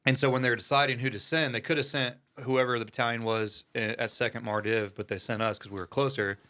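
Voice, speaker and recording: A man's voice: medium pitch, steady tone, quick pace, bright, forceful tone. A man chatting casually and informally as a podcast guest, telling stories from military service in slangy everyday speech. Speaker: podcast guest, Marine Corps veteran.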